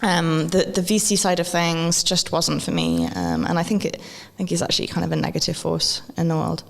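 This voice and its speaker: A woman from Wales talks in a low, gravelly voice, chatty and quick to laugh, with plenty of ums and restarts. Her voice carries a long reverb from a hall around her. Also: expressive. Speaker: guest, maker and educator. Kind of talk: relaxed conversational interview. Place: event venue at a festival.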